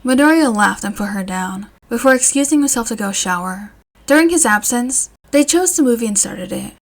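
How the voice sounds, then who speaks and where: A woman's voice: a little high, animated, brisk. A woman reading scripted fiction aloud as an audiobook-style narrator. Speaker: podfic narrator.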